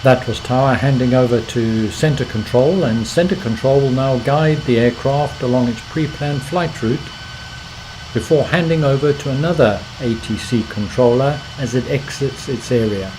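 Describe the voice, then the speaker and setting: A man's voice: mid-range voice, normal speed, background noise. A man narrating an instructional tutorial as the host of a flight simulation channel.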